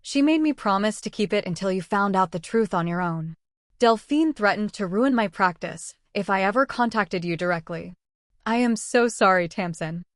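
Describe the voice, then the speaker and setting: A woman voicing a kind, sorrowful lawyer who speaks softly. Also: mid-range voice, animated, moderate pace, no room sound, clean studio sound, lightly acted. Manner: soft-spoken.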